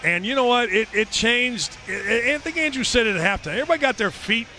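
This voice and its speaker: Bright, high-voiced man color commentator. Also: fairly quick, a little hiss or hum. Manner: animated, self-correcting. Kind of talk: sports broadcast commentary.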